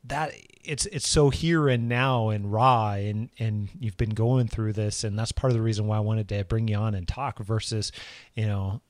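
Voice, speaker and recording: A man hosts an interview in a fairly low voice, thanking and encouraging his guest. He speaks haltingly, with plenty of fillers and restarts, and the recording is dry and close. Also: steady tone, no room sound. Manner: supportive, halting, many fillers and repeats. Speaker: podcast host. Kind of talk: interview conversation.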